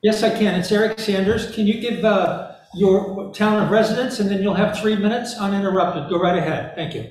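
A man chairing a council public hearing, brisk and friendly, with frequent uhs. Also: high voice, darkish tone, far from the mic. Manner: brisk, friendly, uh-filled procedural talk. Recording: very echoey meeting room.

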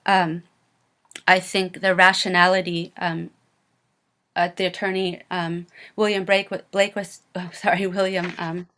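A woman from Maine giving public comment at a council hearing over a video call, earnest and halting, with many pauses and restarts. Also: on the low side, flat delivery, a bit bright.

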